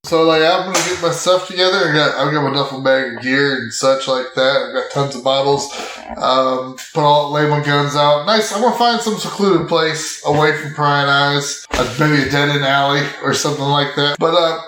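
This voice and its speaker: A man's voice: fairly high pitch, steady tone, normal speed, a bit bright, slight background noise. A man improvising his character's actions in casual speech as a tabletop game player, in an echoey room. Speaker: player in an actual-play podcast.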